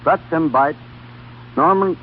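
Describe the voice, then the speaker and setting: A man's voice: fairly high pitch, slow pace, phone-quality sound. Older man, very band-limited old radio interview. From Ohio, USA. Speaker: radio guest, former soldier. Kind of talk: scripted interview answers. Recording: thin, noisy vintage radio broadcast.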